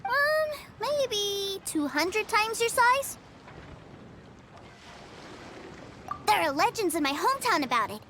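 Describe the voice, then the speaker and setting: A woman's voice: very high-pitched, very animated, unhurried, sharp tone, slight background noise, heavily acted. A woman playing an innocent, adoring little boy in a video game. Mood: earnest storytelling. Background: music.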